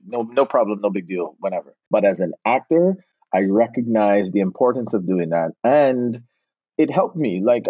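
A middle-aged man from Barbados, a film director and actor, telling animated stories in a casual interview and slipping into relaxed island grammar. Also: fairly low pitch, no room sound, phone-quality sound.

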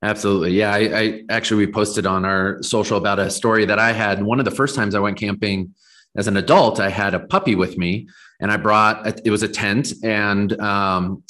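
Lowish-voiced American man as interview guest. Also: a bit bright. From Southern California. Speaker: company founder and interview guest. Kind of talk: conversational interview answers.

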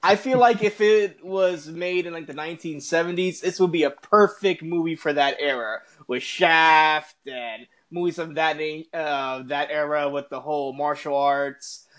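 A man's voice: a little high, unhurried, hardly any pauses, fairly bright tone. A man co-hosting a podcast, chatting casually about movies in a playful, joking way. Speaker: podcast co-host.

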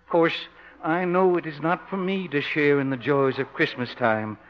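An actor playing a polite, lonely ex-safecracker with courtly, formal manners in a 1950s radio comedy. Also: male voice, fairly high pitch, fairly even intonation, normal speed, natural voice. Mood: wistful, lonely. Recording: dull lo-fi 1950s radio recording.

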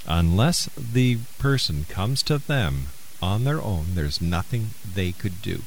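A man's voice: sits low, lively intonation, takes it slowly, a little hiss or hum, rough recording. A man hosting a radio talk show, speaking in a conversational interviewing style. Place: radio studio.

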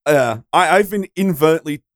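A man with a deep voice co-hosting a podcast, rambling and swearing, tripping over words in casual chat. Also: brisk, dry recording, studio quality.

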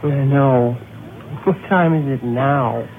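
Through a thin, noisy old radio sound comes an uneasy, sardonic woman in a horror play. She has a low voice and speaks with dry, biting weariness. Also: slow pace, phone-quality sound, full character voice. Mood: weary, sardonic. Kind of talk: old-time horror radio drama acting.

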